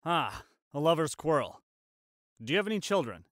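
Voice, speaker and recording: A man's voice: a little high, very animated, normal speed, fairly bright tone, no room sound, studio quality. A man playing a polite, dutiful police officer in a dramatic manga dub, courteous and protective. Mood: polite suspicion. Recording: music behind the voice.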